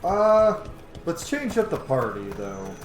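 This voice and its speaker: A man hosts a gameplay series with very lively, swooping intonation. He is chatty and enthusiastic, talking casually and swearing offhand. The room is audibly echoey, with faint game music behind him. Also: on the high side, takes it slowly.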